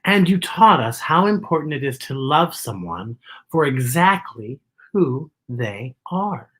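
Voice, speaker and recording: A children's storyteller voicing a gentle, reassuring, loving mother speaking to a young child in a picture-book read-aloud. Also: mid-range voice, big pitch swings, a little slow, slightly rough, voice pushed down, heavily acted. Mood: tender, reassuring.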